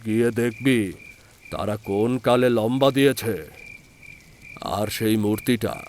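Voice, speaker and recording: A man's voice: lowish voice, takes it slowly, darkish tone, slight background noise. A man voicing a despondent, fearful village uncle in a horror audio story, speaking in a weary, gloomy way.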